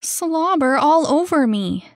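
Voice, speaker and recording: Feisty runaway girl, acted by a woman narrator: high, sharp, suspicious and defiant storybook heroine. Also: expressive, slow, no room sound, clean studio sound. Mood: irritated, defiant. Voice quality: high-pitched. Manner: sharp, exclamatory, challenging.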